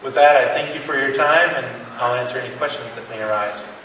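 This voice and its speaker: A man's voice: medium pitch, fairly quick. A man presenting at a conference to patients, earnest and encouraging, with frequent restarts. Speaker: pharmaceutical company presenter. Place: conference room. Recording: echoey hall from far off, faint noise.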